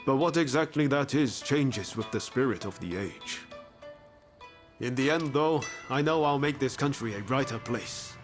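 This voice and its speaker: A man's voice: mid-range voice, lively intonation, moderate pace, faint music behind, close to an everyday voice. A man playing an easygoing, idealistic samurai hero in a video game cutscene. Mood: earnest, hopeful.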